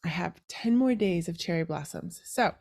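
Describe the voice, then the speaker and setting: A woman's voice: on the low side, lively intonation, normal speed, creaky, darkish tone. A woman hosting a podcast in a casual, chatty way, her voice slightly nasal and congested from allergies. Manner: chatty, conversational. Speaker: podcast host. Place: indoors, recorded over a video call app.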